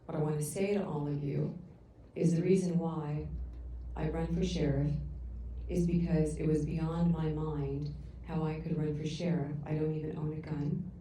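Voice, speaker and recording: A middle-aged woman giving an earnest, halting public comment at a council meeting, heard through the room's sound system from afar. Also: sits low, little pitch movement, slight background noise. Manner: loud voice. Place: council chamber. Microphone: room sound system, picked up from afar. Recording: echoey council chamber from far off.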